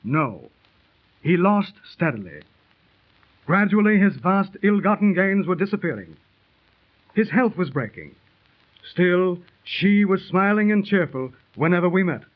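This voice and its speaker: A man in a 1930s radio drama who narrates a tragic story in a measured, dramatic manner. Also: high-pitched, big pitch swings. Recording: tinny 1930s radio transcription.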